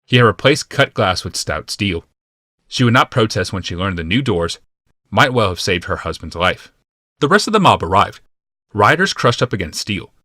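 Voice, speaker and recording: Low, forceful male audiobook narrator. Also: big pitch swings, normal speed, no echo, clean studio sound. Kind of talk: scripted dramatic narration of fantasy fiction.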